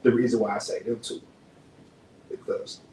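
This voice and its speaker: Brief: laid-back young rapper from Dallas, slangy and rambling, mic set back in an echoey room with faint hum. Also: male voice, mid-range voice, steady tone, moderate pace, dark tone, far from the mic. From Dallas, Texas. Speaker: rapper, podcast guest. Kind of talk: relaxed interview chat.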